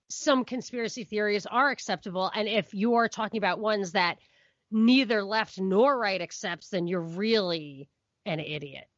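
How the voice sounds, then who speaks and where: A woman's voice: medium pitch, a bit bright, no room sound, muffled recording. Middle-aged woman in casual, dry-sounding podcast chat. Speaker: podcast co-host.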